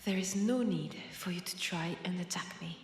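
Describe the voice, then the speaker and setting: A woman with a French accent voicing a centuries-old, wry and world-weary female vampire in an intimate audio roleplay, softly and breathily. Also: lowish voice, moderate pace, close to an everyday voice. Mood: calm, firm. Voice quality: breathy, soft, slipping into whispers.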